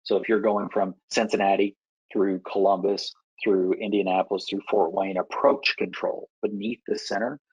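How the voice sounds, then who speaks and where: An American man working as an air traffic controller, explaining procedures in a measured, instructive way with deliberate pauses. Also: low-pitched, little pitch movement, slightly rough, no echo, dull audio. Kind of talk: explanatory Q&A talk to pilots.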